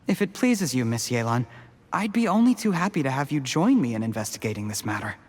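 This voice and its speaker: An actor playing a scheming male official who calmly gloats over his victim, with a menacing, measured delivery. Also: mid-range voice, a little hiss or hum, natural voice. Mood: polite. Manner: calm, menacing, drops to a hushed whisper. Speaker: game character.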